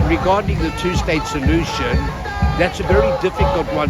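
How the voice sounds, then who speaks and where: Older South African man amid loud crowd. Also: fairly high pitch, poor audio. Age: 70s. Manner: earnest, halting, restarts phrases. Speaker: interviewee, tour guide. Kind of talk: street interview. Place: outdoor protest in a city square. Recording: loud crowd and music behind.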